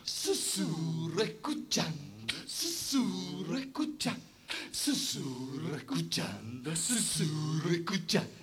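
Man, sound poetry performer, chanting, slow, bright. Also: a little high, big pitch swings, soft background music. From Uruguay. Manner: chanting repeated words, whispering to shouting. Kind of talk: experimental sound poetry for radio.

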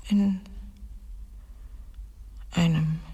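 An actress playing a cold, haunted murderess in a radio play, speaking haltingly and deliberately in a breathy, husky, creaky voice that often sinks near a whisper. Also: female voice, on the low side, steady tone, mellow, exaggerated voice. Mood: cold, confessional. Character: cold, haunted murderess confessing her killing, named Ramona. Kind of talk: dramatic radio play monologue. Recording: long hall-like reverb.

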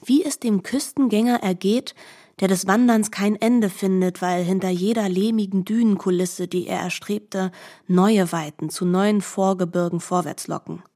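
A woman narrating a literary radio play as a composed storyteller, with calm, deliberate phrasing. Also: mid-range voice, natural voice. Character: composed female storyteller of an epic.

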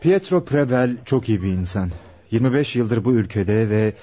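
Give this radio-play role to an earnest young fiancé played by a man. His voice is soft-edged and slightly rough, with a mid pitch. The recording is muffled and dull, like an old broadcast. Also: lively intonation, moderate pace, lightly acted. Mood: earnest, thoughtful. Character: earnest young fiancé helping a police investigation. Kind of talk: Turkish radio theatre acting.

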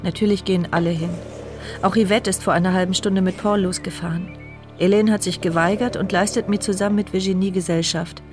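A woman's voice: lowish voice, steady tone, fairly quick, music in the background, lightly acted. Woman voicing a fearful paralysed narrator. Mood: anxious. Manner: tense, fearful, introspective. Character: paralysed mute woman Elise, anxious inner narrator. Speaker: narrator of inner thoughts. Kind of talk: radio play inner monologue.

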